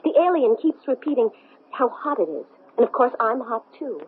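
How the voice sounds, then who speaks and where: A woman's voice: fairly high pitch, very animated, normal speed, husky. A woman playing a desperate, insistent astronaut possessed by an alien, urgent and pleading, in a 1970s radio drama. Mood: distressed. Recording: muffled, narrow-band old radio.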